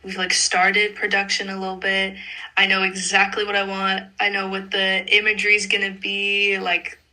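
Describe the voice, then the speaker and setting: A woman's voice: mid-range voice, monotone, moderate pace, pushed and bright, low-quality recording. A young woman, a singer being interviewed, chatting informally and peppering her talk with "like". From Montreal, Canada. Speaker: singer-songwriter interview guest. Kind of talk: casual interview chat.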